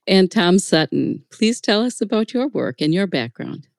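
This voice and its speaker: A woman's voice: mid-range voice, very animated, moderate pace, vocal fry, no room sound, clean studio sound. A woman hosting an interview podcast, speaking in a prepared, presenterly way. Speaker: podcast host. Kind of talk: hosted interview, partly reading prepared text. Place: studio.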